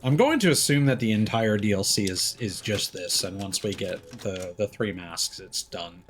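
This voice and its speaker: A man's voice: fairly low pitch, animated, normal speed, faint music behind. A man streaming a game with casual commentary, thinking aloud as he plays.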